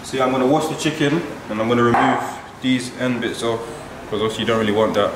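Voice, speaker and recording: A man's voice: medium pitch, steady tone, fast. A young man chatting casually as he cooks, explaining each step. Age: young adult. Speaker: young footballer cooking. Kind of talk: casual cooking vlog chat. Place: large modern home kitchen. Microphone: far camera mic. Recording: echoey kitchen, distant mic, noisy.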